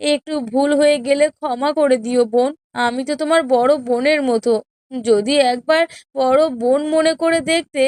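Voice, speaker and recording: A woman plays a sorrowful, meek elder wife in a cartoon tale. Her voice is high and smooth, recorded dry. She speaks gently and submissively, as if always pleading to be accepted. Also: no echo. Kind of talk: children's cartoon story dialogue.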